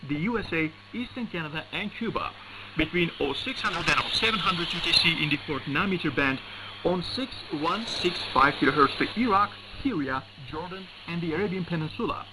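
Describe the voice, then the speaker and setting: A man's voice: a little high, expressive, fairly quick. A man reading a scripted station announcement as a radio announcer, heard over shortwave radio. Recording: noisy shortwave radio reception. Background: static and radio noise.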